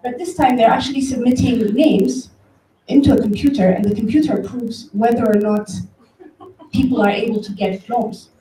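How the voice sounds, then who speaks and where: A woman's voice: fairly high pitch, fairly even intonation, normal speed, warm tone. A woman from Ethiopia giving an informal, conversational talk to an audience, telling stories and addressing listeners directly. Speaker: conference speaker, tech company director. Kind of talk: informal public talk with storytelling. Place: event room, before an audience. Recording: roomy, distant mic, faint background noise.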